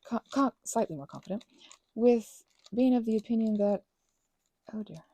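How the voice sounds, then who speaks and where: A young woman chatting in a rambling, casual way while she works on a craft project, sometimes dropping into soft whispers. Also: fairly high pitch, moderate pace, dry recording, highs cut off. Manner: chatty, rambling, drifts between soft whispers and louder asides. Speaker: ASMR creator.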